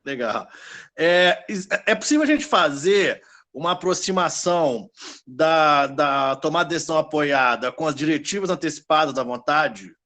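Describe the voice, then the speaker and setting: Friendly minas guy, law teacher, slightly high voice, chatty. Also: lively intonation, moderate pace, pushed and bright. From Minas Gerais, Brazil. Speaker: law professor asking questions. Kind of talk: casual webinar chat.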